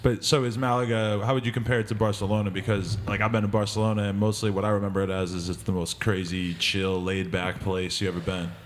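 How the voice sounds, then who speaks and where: A man hosting a studio interview, asking quick follow-up questions in a joking, laid-back way. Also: lowish voice, flat delivery, slight background noise. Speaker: interview host. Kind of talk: casual interview.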